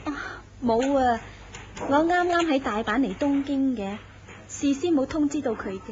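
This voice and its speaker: A mysterious young beauty speaks coolly and will not be turned away, as a woman acts her out. Her voice is high. The recording is dull and lo-fi, with faint hiss. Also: moderate pace, darkish tone, lightly acted. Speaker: voice actress in a radio drama.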